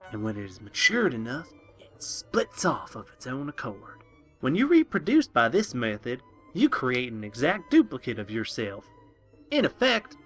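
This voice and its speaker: A man's voice: mid-range voice, pushed and bright. A man playing an eccentric, pompous town scientist giving a mock lecture in a comic audio drama, theatrical and prone to indignation. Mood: pompous lecturing.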